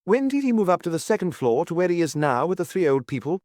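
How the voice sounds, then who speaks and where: A man's voice: sits high, very animated, quick pace, a little mellow, no room sound, clean studio sound, pitched above the performer's own voice. A man voicing a solemn examining magistrate in an audiobook, putting short, pointed, formal questions. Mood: pressing.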